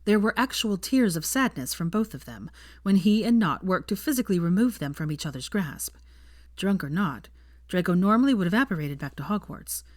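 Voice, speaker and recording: A woman's voice: lowish voice, lively intonation, a little fast, a little mellow, dry recording, clean studio sound. A woman reading a story aloud as scripted audiobook narration. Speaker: fan fiction audiobook narrator.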